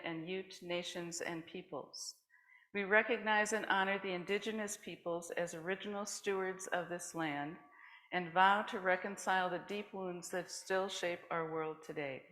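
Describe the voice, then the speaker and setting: A woman's voice: on the low side, fairly bright tone. An American woman leading a worship service, giving a welcome and announcements, partly read out. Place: indoor congregation meeting room. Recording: roomy, echoing meeting space.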